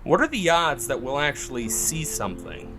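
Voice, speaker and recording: A man acting as an animated, high-voiced radio show host. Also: normal speed, over music. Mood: casual, let down. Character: male urban legend show host broadcasting from a haunted cemetery. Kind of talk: horror audio drama acting.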